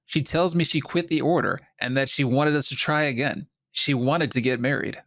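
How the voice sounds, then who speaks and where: Man acting a troubled male doctor, earnest, urgent, pleading, average male pitch, dry recording, space audio drama. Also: moderate pace, fairly bright tone, no room sound, lightly acted. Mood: urgent, anxious.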